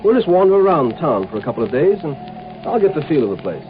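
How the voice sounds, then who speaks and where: A man's voice: a little high, sing-song, fast, phone-quality sound, faint music behind. A man playing a blunt, sarcastic military marshal of a galactic empire in an American 1950s radio drama. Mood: brisk, sardonic. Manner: blunt, sardonic, commanding. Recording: scratchy, noisy 1950s radio broadcast.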